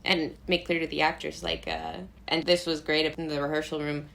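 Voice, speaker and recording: A woman's voice: sits low, a little fast, creaky. An American woman talking casually as an interview guest, in a rambling way full of "like" and self-interruptions. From Brooklyn, New York, USA. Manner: rambling, animated asides with frequent "like" and self-interrupting laughs. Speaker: playwright, director and actor, interview guest.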